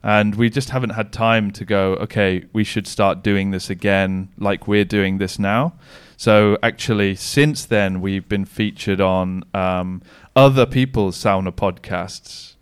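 A man's voice: lowish voice, fairly even intonation, unhurried, hardly any pauses, clean tone, dry recording. A man talking casually as a podcast guest over a remote call, rambling with many restarts and fillers. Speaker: podcast guest, sauna business owner. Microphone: remote recording call.

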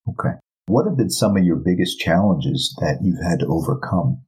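Man, podcast host, very low voice, husky tone, encouraging questions. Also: animated, normal speed, darkish tone, rough recording. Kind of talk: interview hosting.

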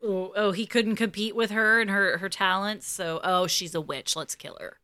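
A woman's voice: mid-range voice, fairly even intonation, normal speed, noisy background. A woman co-hosting a podcast, reacting in casual, sarcastic chat. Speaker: podcast co-host.

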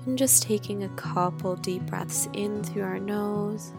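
A woman leading a guided meditation in a soothing, gentle way, with drawn-out phrases and pauses. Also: medium pitch, gravelly. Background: guitar music.

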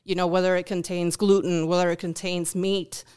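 A woman's voice: lowish voice, level tone, moderate pace, darkish tone, clean tone. A woman from Uruguay whose first language is Spanish, speaking English with a Uruguayan Spanish accent as a podcast guest, thoughtful, with many ums and restarts. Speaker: guest, food bank volunteer. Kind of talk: podcast interview.

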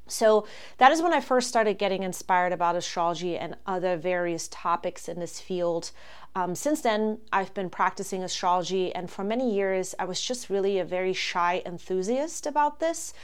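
A woman in her forties from Germany, hosting a solo astrology podcast and explaining things calmly, with frequent ums. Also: fairly low pitch, moderate pace, smooth.

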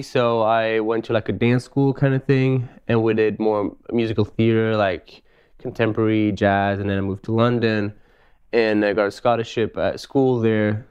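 A man from Switzerland, a guest telling stories in a relaxed, easygoing way on a casual podcast interview. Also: on the low side, clear, resonant tone, muffled recording. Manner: relaxed, easygoing storytelling with frequent "you know". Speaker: podcast guest, live show dancer.

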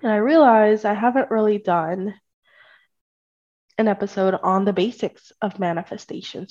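A woman's voice: mid-range voice, darkish tone. Woman podcast host with a stuffy, congested voice, chatty and reassuring, recorded on slightly dull lo-fi audio. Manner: chatty, often checking in with "right?" and "okay?". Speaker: podcast host and spiritual life coach. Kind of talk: casual solo podcast talk. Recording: dull lo-fi sound.

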